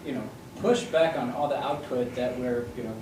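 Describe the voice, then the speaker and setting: A man's voice: medium pitch, normal speed, recorded from a distance. A man in the audience asking a long question, hesitant and searching for words. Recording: roomy audio from away from the mic, with noise.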